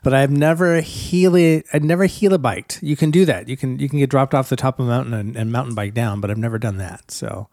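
A man's voice: mid-range voice, a little fast, hardly any pauses, darkish tone, no echo, clean studio sound. A middle-aged man hosting a business podcast, upbeat and encouraging as he welcomes and questions his guest. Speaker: podcast host.

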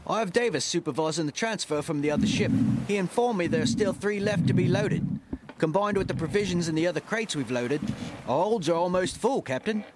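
A man playing a high-voiced, dutiful ship's officer. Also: brisk, heavy background noise, lightly acted. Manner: respectful, formal, slightly anxious. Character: dutiful, cautious ship's officer serving a harsh captain. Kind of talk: audio drama acting.

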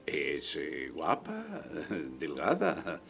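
A man's voice: very deep voice, huge pitch swings, extremely slow, vocal fry, fairly bright tone, slightly rough, heavily acted. An actor playing a sly, pedantic male agent in radio theatre, speaking with hesitant ehs and ahs. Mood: pedantic, insinuating.

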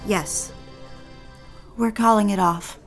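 A conflicted young woman in love, voiced by an actress in a romantic period radio play. She speaks earnestly and formally at a middling pitch, with faint music underneath. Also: steady tone, takes it slowly, creaky. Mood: regretful, resolute. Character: lovestruck, conflicted young bride-to-be breaking off engagement. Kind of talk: romantic period audio drama acting.

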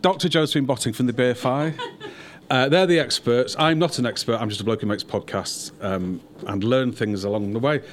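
A man's voice: medium pitch, a little fast. A man hosting a podcast and film event with wry, self-deprecating humour. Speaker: podcast host.

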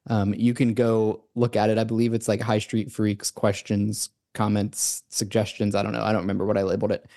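A man's voice: fairly low pitch, little pitch movement, a little fast, warm tone. Rambling man co-hosting a sports podcast. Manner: loose, rambling, many fillers and restarts. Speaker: podcast co-host. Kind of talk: casual sports podcast chat. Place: remote recording, separate from co-host.

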